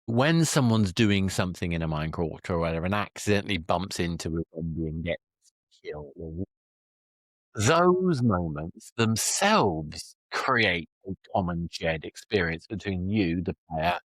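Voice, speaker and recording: A man's voice: low voice, big pitch swings, measured pace, dry recording, rough recording. A man in a podcast conversation, musing aloud and working through his ideas as he goes. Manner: musing, discursive.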